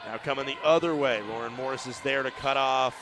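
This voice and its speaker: A man giving live play-by-play of a college women's basketball game, chatty and opinionated, getting louder at close plays. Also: medium pitch, a little fast. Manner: chatty, opinionated, rises to shouting at big plays. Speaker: play-by-play announcer. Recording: noisy arena broadcast feed. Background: gym ambience and ball bounces.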